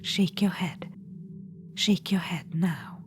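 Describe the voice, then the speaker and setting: A woman voicing a haughty ancient witch-queen trapped in an orb, speaking in a soft, regal, condescending whisper for an ASMR roleplay. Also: on the low side, slow pace.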